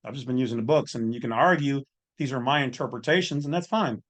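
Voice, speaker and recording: A man's voice: mid-range voice, quick pace. Man giving folksy, opinionated commentary. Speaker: host of an online legal commentary channel. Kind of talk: informal off-the-cuff commentary.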